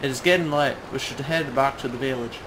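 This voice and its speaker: A man reading as a gentle village storyteller with a halting drawl. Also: mid-range voice, background noise, natural voice. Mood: gentle, wistful. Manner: slow halting read with a put-on drawl. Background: game music.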